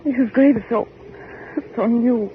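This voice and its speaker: An actress playing an anxious, defiant young heiress in a 1940s radio horror play, theatrical, urgent and questioning. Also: female voice, on the high side, takes it slowly. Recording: noisy, dull 1940s radio recording.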